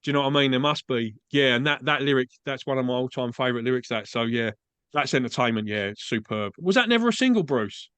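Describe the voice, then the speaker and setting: A man's voice: medium pitch, expressive, fast talker, fairly bright tone, clean tone, dry recording. A man from England with an Essex accent, hosting a podcast interview in a chatty, agreeable way, often saying "mate". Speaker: podcast host. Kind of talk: casual interview chat. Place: Australia.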